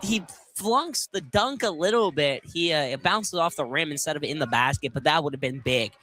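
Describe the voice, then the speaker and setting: A man's voice: a little high, expressive, normal speed, bright, forceful tone. A young man giving informal, conversational color commentary during a live college basketball radio broadcast.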